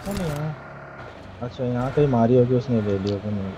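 A man's voice: mid-range voice, expressive, normal speed. A man making casual callouts and chatting in a game squad, often repeating short phrases. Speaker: gaming squad member. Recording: clear game noise behind voice.

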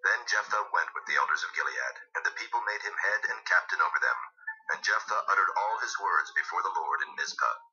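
A man's voice: low voice, animated, fairly quick, bright, forceful tone. A man narrating King James scripture in a solemn, formal storytelling manner. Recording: muffled lo-fi audio with music.

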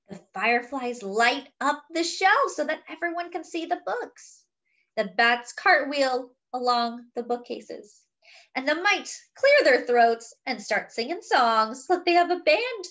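A woman's voice: sits high, fairly bright tone. A woman reading a picture book aloud expressively, with chatty, wondering asides to young listeners. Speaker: children's story reader.

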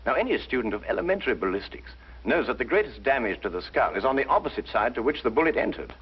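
An actor playing a brilliant, haughty English gentleman detective, precise and pompous, in the manner of 1950s television drama. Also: medium pitch, big pitch swings, fast, breathy edge. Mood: lecturing. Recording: dull 1950s broadcast soundtrack, faint music.

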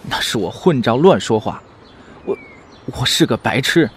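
A man's voice: medium pitch, sing-song, a little slow, slight background noise. A man acting a remorseful young lover in an audio drama, earnest and apologetic.

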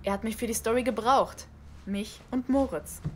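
A woman's voice: on the high side, moderate pace, slight background noise, lightly acted. An actress playing a guarded, insistent woman in a naturalistic audio drama.

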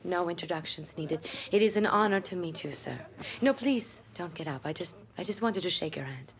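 A woman's voice: lowish voice, lively intonation, brisk. Poised woman sports agent. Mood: polite, gushing. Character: poised, gushing female sports agent in business meeting. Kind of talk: naturalistic game cutscene dialogue.

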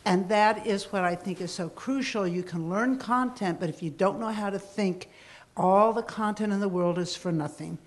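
A woman in a lecture audience, commenting in a chatty, animated way with fillers. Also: mid-range voice, normal speed. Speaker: audience member.

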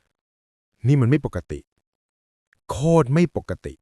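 A man voicing a flabbergasted young male detective in an audiobook, hushed, incredulous and emphatic. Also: medium pitch, sing-song, takes it slowly, slightly rough, dry recording, clean studio sound, full character voice. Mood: stunned disbelief. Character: flabbergasted young male detective whispering about his boss.

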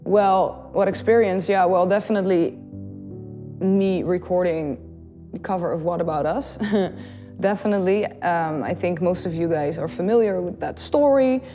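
Young woman, Dutch accent, cheerful vlogger, roomy studio sound with soft music bed. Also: lowish voice, moderate pace. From Netherlands. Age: young adult. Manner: bubbly, giggly, animated storytelling. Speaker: YouTube singer and host. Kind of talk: casual chat to camera. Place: small studio in front of a blue backdrop. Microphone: studio condenser mic on the desk.

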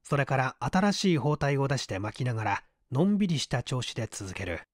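Male audiobook reader for mystery fiction; mid-pitched, scripted narration, very little room sound. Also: very animated, brisk, no room sound, studio quality.

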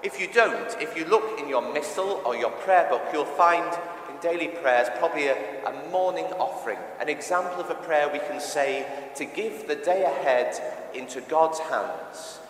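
Echoey church sermon from a male preacher. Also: on the high side. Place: large reverberant church interior. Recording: echoey church with long reverb.

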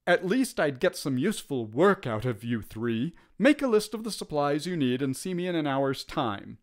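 A man voicing a curt, grudging British army lieutenant, brisk and commanding, in a storybook. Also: a little high, sing-song.

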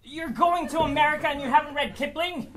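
A man's voice: very high voice, brisk, a crowd in the background. A man playing a bookish, know-it-all young immigrant in a live staged musical reading, lecturing his friends. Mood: pedantic. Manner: lecturing, pedantic.

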